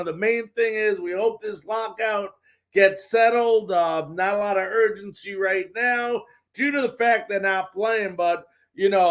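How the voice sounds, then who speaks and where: A man hosting a live sports talk show, giving updates and responding to viewer comments. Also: high voice.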